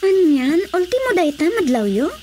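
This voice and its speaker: A Filipino woman acting a coy, animated younger woman in a radio drama comedy. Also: very high voice, moderate pace, slight background noise. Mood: casual, defensive.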